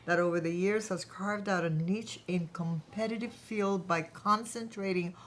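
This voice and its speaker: A woman's voice: fairly low pitch, steady tone, moderate pace, a little hiss or hum, close to an everyday voice. A woman acting in a staged reading as a chatty, self-assured small-company boss, polished and businesslike. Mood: proud, businesslike. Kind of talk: staged reading of a workplace satire.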